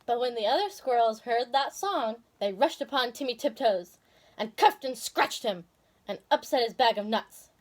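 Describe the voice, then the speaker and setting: Animated, higher-voiced woman storyteller. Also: moderate pace. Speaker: storyteller and video host. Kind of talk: expressive children's story reading with casual asides.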